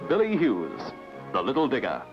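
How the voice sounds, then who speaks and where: A man reading scripted newsreel narration in a formal, measured announcer's delivery. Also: mid-range voice, huge pitch swings. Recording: dull archival sound, music behind. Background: music.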